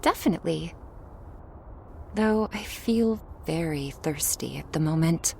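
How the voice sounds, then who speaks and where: A woman's voice: low voice, lively intonation, unhurried, creaky, a little mellow, a little hiss or hum, exaggerated voice. A woman playing a sly, taunting, thirsty vampire outsider in a scripted audio drama, teasing and smug. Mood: eager, smug.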